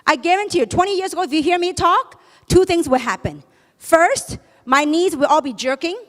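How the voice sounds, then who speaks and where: A woman's voice: extremely high pitch, expressive, a little fast. A woman whose first language is Chinese gives an emphatic motivational seminar talk, throwing rhetorical questions at a live audience in a large hall. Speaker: speaker at a business seminar. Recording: long reverb of a large hall.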